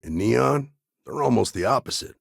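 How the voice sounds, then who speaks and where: Gravelly, world-weary space ranger. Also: male voice, mid-range voice, animated, moderate pace, no echo, studio quality, full character voice. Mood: measured, wary. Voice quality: gravelly, husky. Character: world-weary, guarded lawman ranger in a frontier space city. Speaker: video game voice actor. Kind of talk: game dialogue lines.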